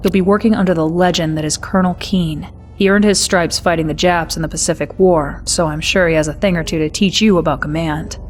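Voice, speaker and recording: A woman playing a brisk, wry military intelligence officer, giving a scripted mission briefing in a crisp, confiding manner. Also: fairly low pitch, steady tone, slight background noise, natural voice.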